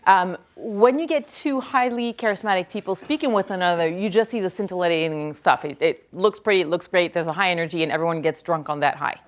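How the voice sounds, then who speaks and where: A woman whose first language is French, speaking at a university lecture hall, energetically repeating and answering questions from the audience. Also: lowish voice. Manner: energetic, quick to praise, repeats each question aloud.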